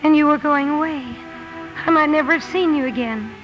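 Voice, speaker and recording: A woman's voice: high voice, a little fast, muffled recording, lightly acted. An actress playing a sincere, passionate young woman writer in a 1950s radio drama, earnest and emotional. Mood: urgent, excited. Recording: old radio broadcast with music.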